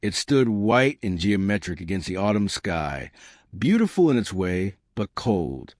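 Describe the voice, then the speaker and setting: A man's voice: on the low side, big pitch swings, a little slow, darkish tone, no echo, studio quality. A man reading scripted story narration as an audiobook narrator.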